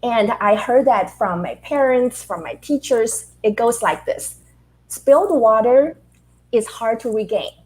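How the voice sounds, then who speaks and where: A middle-aged woman from Taiwan, a leadership author answering interview questions in an animated, storytelling way with rhetorical questions. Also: fairly high pitch, measured pace, poor audio.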